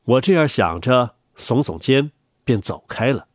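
A man reading a children's story aloud in a gentle, animated storyteller voice, taking part in a family reading for young listeners. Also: mid-range voice, measured pace, dry recording, studio quality.

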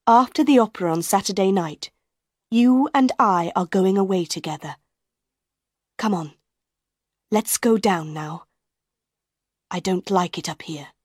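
A woman's voice: medium pitch, a little slow, pauses often, husky, no echo, studio quality. Woman doing an earnest, protective young man. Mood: urgent. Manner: firm, insistent.

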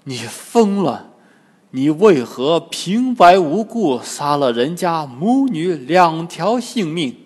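A man voicing a solemn, stern Buddhist master in a storybook tale, measured and pious, with long reverb. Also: a little high, sing-song, husky, full character voice. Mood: angry.

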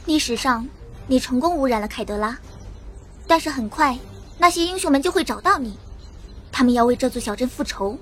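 A woman's voice: high-pitched, lively intonation, brisk, pauses often, slight background noise. A woman playing a small, brave, determined girl fighter in a radio drama, speaking earnestly and boldly. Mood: stern resolve.

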